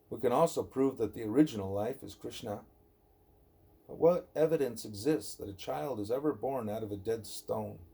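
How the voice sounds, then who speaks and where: A man reading a scripted spiritual dialogue aloud as an audiobook narrator, deliberate and emphatic on rhetorical questions. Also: mid-range voice, warm tone, slightly rough. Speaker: audiobook reader.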